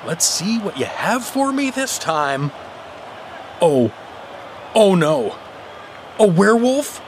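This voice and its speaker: A man's voice: high-pitched, big pitch swings, slow pace, a little hiss or hum, exaggerated voice. An actor playing an anxious but defiant everyman hero in a fantasy audio drama, with a nervous, wry delivery. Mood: alarmed.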